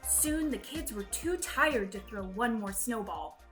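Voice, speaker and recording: A woman reading a children's story aloud in an expressive, deliberate, dramatic way. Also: on the high side, music in the background. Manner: deliberate, dramatic delivery with acted-out sound words. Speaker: storybook reader.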